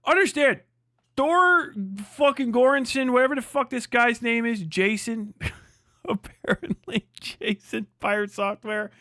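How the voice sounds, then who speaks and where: A man's voice: very high-pitched, fairly even intonation, a little slow, bright, forceful tone, dry recording, clean studio sound. A man, perhaps middle-aged, ranting casually on a livestream in a brash, mocking, profane and emphatic way. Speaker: livestream host.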